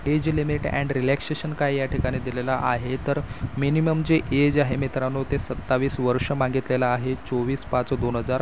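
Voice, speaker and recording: Flat-toned man explaining over traffic noise. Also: mid-range voice. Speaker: YouTube host announcing job openings. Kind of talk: informational explainer to viewers, semi-scripted.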